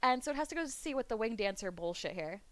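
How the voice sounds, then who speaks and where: A woman streamer chatting casually on a live webcam stream, rambling, laughing often, and going hoarse as she loses her voice. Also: fairly high pitch, animated, quick pace, no room sound. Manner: rambling, laughs often, reads chat aloud. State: losing her voice. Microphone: desk mic on a webcam stream.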